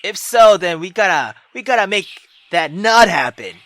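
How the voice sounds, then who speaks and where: A woman's voice: mid-range voice, very animated, normal speed, a bit bright, no echo. A woman playing a visual novel, giving casual, sweary reactions and hesitant thoughts aloud between stretches of reading. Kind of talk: casual reactive commentary and read-aloud narration.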